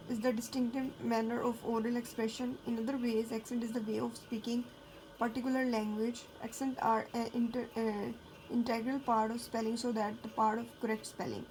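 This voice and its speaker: A woman teacher giving a lecture, talking casually and checking in with her students, then reading English passages slowly. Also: on the high side, a little mellow, slight background noise, low-quality recording. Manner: frequent checking fillers. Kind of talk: classroom lecture mixing Hindi and English.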